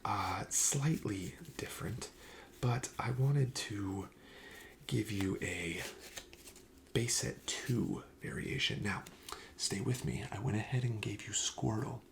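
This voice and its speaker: ASMR clerk role, male: deep, hushed and crackly, gently explaining the goods to a customer. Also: takes it slowly. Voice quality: soft, breathy, often near a whisper. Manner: gentle, explanatory sales patter. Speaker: card shop clerk in an ASMR roleplay. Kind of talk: soft-spoken ASMR roleplay.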